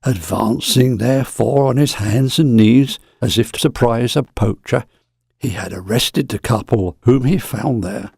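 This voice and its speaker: A man's voice: mid-range voice, a little slow, gravelly, darkish tone, breathy edge, dry recording, clean studio sound. A man reading scripted story narration in a dramatic storytelling style.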